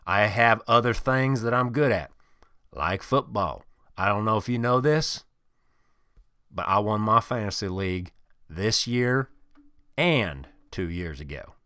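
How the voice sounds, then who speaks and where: A man voicing a crude, boastful, middle-aged couch football fan who rants loudly and swears a lot on a podcast. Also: on the low side, expressive, unhurried, pauses often, clear, resonant tone, dry recording, studio quality. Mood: blustering. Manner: loud, swaggering, profane ranting. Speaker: podcast host in character. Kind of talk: improvised comedy character monologue.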